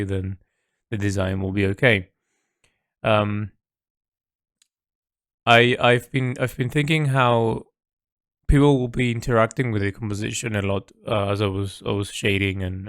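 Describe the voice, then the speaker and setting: A man with a fairly low voice keeps a reflective audio log about his own work as an artist. He thinks aloud without a script, halting and repeating words as he goes. The sound is dry, with little room in it. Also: dry recording. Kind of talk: reflective unscripted audio diary.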